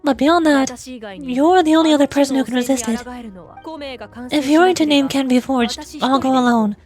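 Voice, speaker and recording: Woman as an earnest, high-voiced warrior ruler. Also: moderate pace, darkish tone, close to an everyday voice. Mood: resolute. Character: determined female warrior ruler, earnest and burdened. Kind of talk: fantasy video game dialogue. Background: music.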